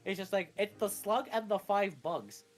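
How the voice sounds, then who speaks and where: A man's voice: sits high, lively intonation, normal speed. Man co-commentating a game over music. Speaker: speedrun co-commentator. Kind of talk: casual gaming commentary. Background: video game music.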